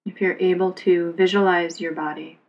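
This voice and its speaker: A woman leads a guided relaxation as a yoga instructor. Her voice sits on the low side with plenty of vocal fry, and her delivery is calm, unhurried and very even in pitch. She gives soothing, simple instructions. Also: dull audio.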